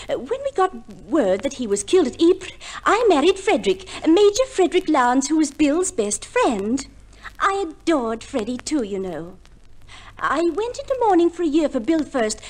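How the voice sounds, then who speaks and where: A flighty young society wife, pitched high and very animated. She is vain and genteel, gushing in an affected way, in the manner of old radio comedy. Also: normal speed. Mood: gushing, self-satisfied. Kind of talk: 1950s radio comedy acting.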